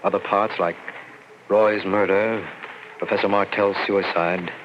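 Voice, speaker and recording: An actor playing a troubled, haunted man who doubts his sanity, grave and halting, in 1940s radio style. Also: deep voice, fairly even intonation. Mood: haunted, uneasy. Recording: muffled old radio recording with noise.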